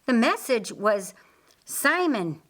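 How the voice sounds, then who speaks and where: Lady narrator doing a curious laid-back brother in an audiobook, chatty, slow paced. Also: on the high side, sing-song, fairly bright tone, full character voice. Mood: sheepish. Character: inquisitive, easygoing brother at dinner.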